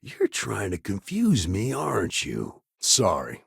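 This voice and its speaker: A man playing a guarded, world-weary frontier lawman in a video game, with a gravelly, husky voice and laconic, folksy phrasing. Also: on the low side, huge pitch swings, slow pace, a bit bright, no echo, studio quality, exaggerated voice. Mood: measured, wary. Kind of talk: game dialogue lines.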